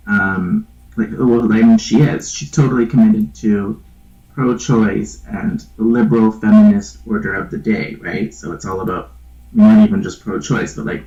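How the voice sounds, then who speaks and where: A congested, slightly sick man with a nasal voice, rambling through a casual opinion monologue with low energy and many ums. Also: warm tone, echoey room. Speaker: channel host. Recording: dull lo-fi recording.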